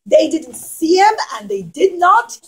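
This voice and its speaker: A woman storyteller teaching young children, speaking dramatically with emphatic pauses and questions to her listeners. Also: extremely high pitch, very animated, a bit bright.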